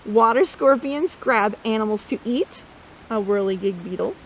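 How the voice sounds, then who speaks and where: A woman reading a nature storytime for children as host, playful and expressive, often asking her listeners questions. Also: on the high side, moderate pace, slight background noise, poor audio. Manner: expressive, playful, asks listeners questions, imitates animal sounds. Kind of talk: read-aloud children's nature storytime with asides.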